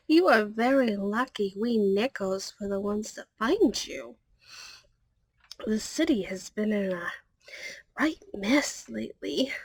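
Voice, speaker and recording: A woman's voice: mid-range voice, lively intonation, slow, long pauses, slightly rough, no room sound, heavily acted. Lady doing a chatty catgirl secretary, asmr roleplay, takes her time, really dry close sound, little meow tic. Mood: polite, reassuring. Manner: polite, chatty, meowing nyah tic ending sentences. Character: friendly polite catgirl secretary, verbal tic, loyal.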